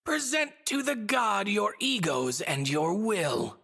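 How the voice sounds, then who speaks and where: A woman's voice: medium pitch, animated, takes it slowly, vocal fry, fairly bright tone, husky, heavily acted. A woman playing a smug, theatrical stuffed-bunny god in a video game, speaking in mocking, riddling, ornate phrases. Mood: solemn, teasing.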